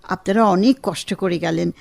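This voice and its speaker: A woman acting a gentle, grateful, affectionate wife who is convalescing, in a Bengali radio drama. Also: lowish voice, moderate pace, creaky, no room sound, clean studio sound. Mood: courteous, insistent.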